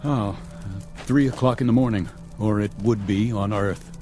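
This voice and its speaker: A man's voice: on the low side, fairly even intonation, normal speed, darkish tone, lightly acted. Man acting as space captain, commanding, sarcastic, accusing, old TV drama, music underneath. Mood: concerned. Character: stern, accusing male spaceship captain, fiercely protective of crew. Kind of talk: mid-century television drama acting.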